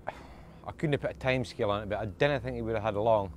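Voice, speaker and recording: A man's voice: on the low side, fast, a little hiss or hum. A Scottish lifeboat crewman, recounting a rescue in a plainspoken interview manner. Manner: plainspoken, with a short laugh now and then. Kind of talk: interview recollection.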